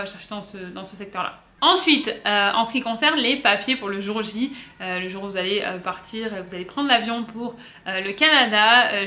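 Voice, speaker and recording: A woman from south-east France with a French accent, giving casual vlog advice in a chatty, halting way with frequent self-corrections. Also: medium pitch, bright, forceful tone. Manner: chatty, hesitant, self-correcting with many pauses. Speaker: vlogger. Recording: roomy echo.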